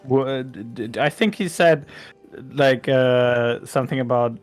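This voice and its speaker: A man's voice: mid-range voice, a little slow, faint music behind. A man translating and commenting in a casual chat as co-host. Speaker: co-host translating game voice lines.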